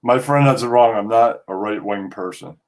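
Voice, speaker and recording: A man's voice: lowish voice, normal speed. A man speaking as a show guest in a plain, unpolished manner. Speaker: show guest reading his own poem. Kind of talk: plain poem recital and casual remarks.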